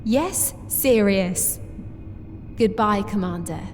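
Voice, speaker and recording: A woman voicing a cheerful female soldier, newly made sergeant, speaking in a slow, emphatic way. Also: mid-range voice, long reverb tail, faint music behind, lightly acted. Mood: heartfelt.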